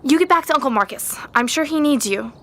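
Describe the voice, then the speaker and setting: A woman's voice: high voice, animated, fast talker, bright, forceful tone, full character voice. A woman playing an earnest, headstrong young sorceress in a fantasy audio drama. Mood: determined.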